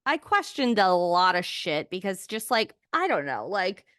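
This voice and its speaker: Young woman podcast host just chatting, sweary n animated, regular pitch, really dry close mic. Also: a little slow, dry recording. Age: young adult. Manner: candid, sweary, animated storytelling. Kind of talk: casual chat. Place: small indoor room, remote video recording. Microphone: podcast microphone on boom arm, close up.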